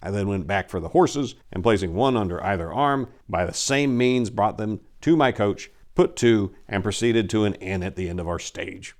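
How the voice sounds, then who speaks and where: A man's voice: fairly low pitch, normal speed, hardly any pauses, lightly acted. A man voicing a boastful, gallant aristocratic adventurer, telling tall tales in a grand, theatrical storybook manner. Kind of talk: dramatic reading of an old storybook.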